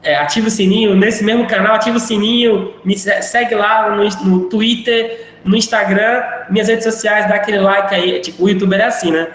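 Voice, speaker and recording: A man's voice: high voice, steady tone, a little fast, few pauses, fairly bright tone. A male teacher wrapping up a live online class in a chatty, rambling way. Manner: rambling, chatty, self-deprecating. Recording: very echoey room, faint noise.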